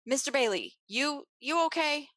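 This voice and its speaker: A woman's voice: high-pitched, lively intonation, moderate pace, a bit bright, dry recording, studio quality. A woman playing an anxious, protective and loyal bar keeper in an old-time radio play. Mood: concerned.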